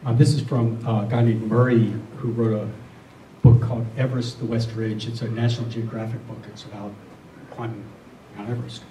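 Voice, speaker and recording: A middle-aged or older man giving a reflective spiritual talk to a group, speaking earnestly and deliberately, often repeating phrases. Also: on the low side, little pitch movement, warm tone. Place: room with a listening group. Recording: roomy, distant mic, faint noise.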